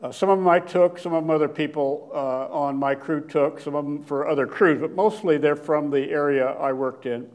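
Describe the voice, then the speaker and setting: An older man giving a slide lecture in a hall, with a loud voice and frequent fillers and restarts. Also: fairly high pitch, steady tone. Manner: loud, plain-spoken, halting with many fillers and restarts. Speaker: guest lecturer, archaeologist. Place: lecture hall with seated audience. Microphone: podium microphone, standing at lectern.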